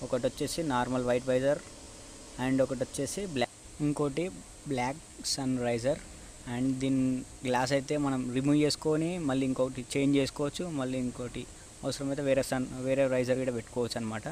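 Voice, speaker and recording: Man with Telangana Telugu accent, even pitch. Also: fast talker, a little hiss or hum, rough recording. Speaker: motovlogger presenting a product review. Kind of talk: casual unboxing and review talk.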